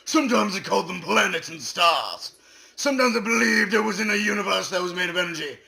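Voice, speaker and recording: Gravelly, high, bright man reading solemnly. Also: lively intonation, quick pace. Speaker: reader. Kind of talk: comedic dramatic reading.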